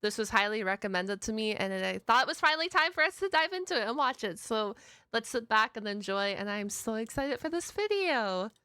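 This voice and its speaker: A woman with a fairly high, crisp voice gives excited reaction commentary. She is playful and slangy, with bursts of exclamation, and sounds bright and close in a dry recording. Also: brisk, no echo. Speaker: reaction video host.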